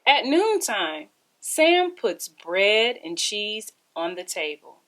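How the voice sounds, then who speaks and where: Unhurried woman reading a children's storybook. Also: medium pitch, sing-song, fairly bright tone. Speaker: read-aloud storybook reader. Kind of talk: expressive children's storybook narration.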